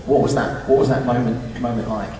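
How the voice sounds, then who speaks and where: A man's voice: medium pitch, steady tone, fairly quick, noisy background. Male Q&A moderator in echoing hall. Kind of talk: conversational questioning on stage. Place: large cinema auditorium at a public Q&A. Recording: echoey cinema hall, distant, crowd.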